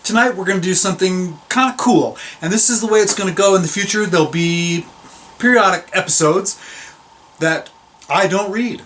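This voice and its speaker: Higher-pitched casual male host, some noise. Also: normal speed, a bit bright. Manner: informal, addressing viewers directly. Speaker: host of a video series. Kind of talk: casual chat introduction to a reading.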